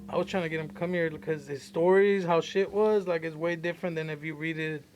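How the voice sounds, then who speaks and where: A man's voice: on the high side, fairly even intonation, fast, a little mellow, soft background music, low-quality recording. A man co-hosting a podcast, telling stories and asking questions in casual, profane chat. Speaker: podcast co-host.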